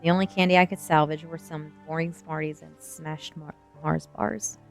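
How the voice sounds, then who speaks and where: A woman reading a first-person novel aloud in an ASMR storytelling style, with expressive narration. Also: low-pitched, moderate pace, vocal fry. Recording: music behind the voice. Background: music.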